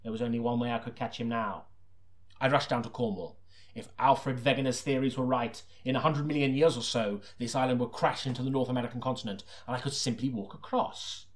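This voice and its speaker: A man's voice: medium pitch, fairly even intonation, fairly quick, lightly acted. A man playing an earnest, melodramatic detective who narrates his own crime story as an audiobook. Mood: urgent, dramatic. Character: deadpan melodramatic hard-boiled detective narrator, secretly a sinister killer.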